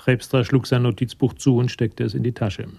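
A man's voice: medium pitch, little pitch movement, brisk, gravelly, a little mellow, breathy edge, no echo. A man reading scripted literary narration as the storyteller of a radio drama.

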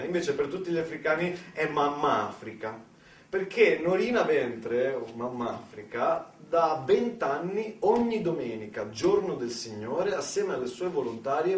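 A man delivering a conversational theatrical monologue in speech, with dramatic pauses and asides to the listener. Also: on the high side. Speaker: solo stage storyteller. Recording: roomy, distant mic, faint noise.

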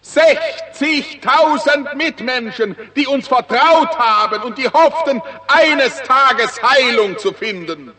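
Very high, pompous orating prime minister. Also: male voice, animated, bright, forceful tone, exaggerated voice. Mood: solemn, mournful. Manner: declamatory, pompous, measured. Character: pompous, demagogic male prime minister giving funeral oration. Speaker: prime minister in a radio play. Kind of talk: solemn political oration, 1960s radio acting. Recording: long hall reverb of public address.

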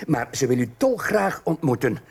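A man's voice: mid-range voice, big pitch swings, moderate pace, breathy edge. An actor playing a courteous, effusive Venetian count and father, gracious and eager to please, in a radio drama.

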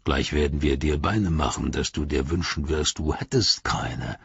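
A man's voice: moderate pace, creaky, husky, no echo, highs cut off, full character voice. A man growling as a sneering orc guard. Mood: menacing. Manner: sneering threats, low and hissing. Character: menacing, snarling male orc guard with a knife.

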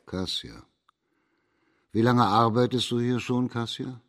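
An actor playing a composed, authoritative district judge in a German radio drama, questioning in a formal, matter-of-fact way. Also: male voice, medium pitch, measured pace, a bit bright, lightly acted. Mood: probing, calm. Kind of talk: German radio play acting.

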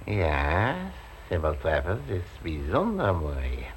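An actor playing a blustering, skeptical aristocratic lord in a radio play, incredulous and gruff. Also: male voice, rumbling low, very animated, slow, a little hiss or hum, exaggerated voice.

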